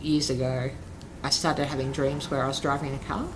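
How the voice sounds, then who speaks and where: A man talking as a guest in a casual interview, hesitant and halting with frequent restarts. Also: mid-range voice, level tone. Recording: noisy background.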